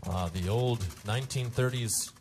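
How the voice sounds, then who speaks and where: Man as a medium-pitched old-time radio announcer. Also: normal speed, noisy background, natural voice. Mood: declaiming. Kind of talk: 1930s radio narration.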